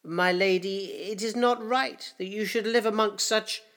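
A man's voice: high voice, steady tone, unhurried, fairly bright tone, voice pushed up. A man voicing a wizened, bent old male councillor who speaks in a fawning, obsequious way.

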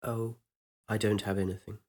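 Hushed, hesitant young man, as a narrator does him. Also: fairly low pitch, level tone, moderate pace, lightly acted. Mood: subdued. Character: quiet, fearful secretive young man.

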